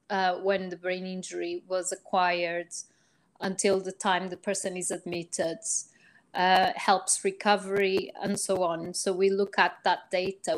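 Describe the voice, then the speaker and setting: A woman explains her job as a guest on a podcast, speaking in a measured way and often pausing with ums to rephrase. Her voice sits at a middling pitch for a woman. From Portugal. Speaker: guest, research psychologist. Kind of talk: podcast interview.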